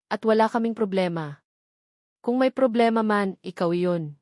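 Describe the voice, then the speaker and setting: A woman's voice: mid-range voice, moderate pace, a little mellow, no room sound, clean studio sound, natural voice. Woman voicing a firm, earnest defiant husband. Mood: firm anger. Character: resolute, angry husband defending his family.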